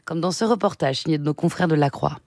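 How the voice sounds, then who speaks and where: A woman presenting a lively, chatty radio column in a studio, with playful asides. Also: deep voice, quick pace, no room sound.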